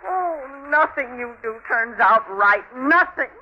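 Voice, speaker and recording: Domineering scolding wife, old radio. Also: female voice, lively intonation, slow pace. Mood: furious, scornful. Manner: shrill, nagging, emphatic delivery. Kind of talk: old-time radio drama acting. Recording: dull, noisy vintage radio recording.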